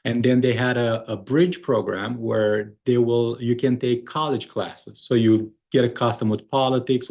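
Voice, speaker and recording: A middle-aged man from Romania with a Romanian accent, speaking earnestly as an interview guest, often pausing on "uh" and restarting his sentences. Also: mid-range voice, darkish tone. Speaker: guest, moving company founder. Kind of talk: conversational interview.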